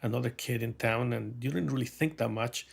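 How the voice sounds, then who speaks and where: A middle-aged man from Mexico speaking as an interviewed artist, telling reflective stories in a halting, thoughtful way with wry humour. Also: mid-range voice, gravelly, slightly rough. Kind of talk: reflective conversational storytelling.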